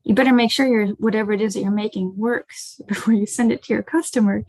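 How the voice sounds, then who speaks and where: A woman's voice: medium pitch, fairly even intonation, fast, a little mellow, dry recording. An American woman giving an informal, conversational webinar talk as a startup co-founder over a video call. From rural Ohio, United States. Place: indoors, online video call.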